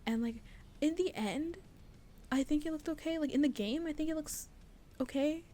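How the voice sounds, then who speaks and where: A woman's voice: sits high, normal speed, mellow, slight background noise. A young woman student vlogging casually, rambling with many fillers and restarts. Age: young adult. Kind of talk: casual devlog chat.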